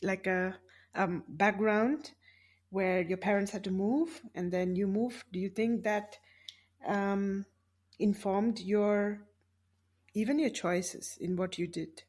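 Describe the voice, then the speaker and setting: A woman's voice: medium pitch, steady tone, takes it slowly, darkish tone. A woman from India hosting a podcast, talking casually and thinking aloud, with frequent hesitations as she frames her questions. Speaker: podcast host. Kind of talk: casual interview conversation.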